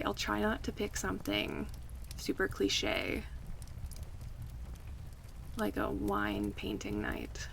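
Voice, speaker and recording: Woman acting affectionate girlfriend-type friend with heavy vocal fry. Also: mid-range voice, a little hiss or hum, heavily acted. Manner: relaxed, giggly, conversational and teasing. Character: affectionate young woman, playful close friend turning romantic. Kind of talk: ASMR roleplay, casual chat to the listener.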